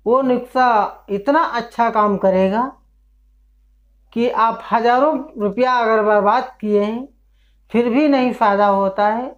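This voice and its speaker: Slow-talking man with a fairly high voice. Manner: slow, repetitive, listing one ailment after another. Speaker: traditional herbal healer. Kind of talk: home remedy explainer video. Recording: dull lo-fi sound.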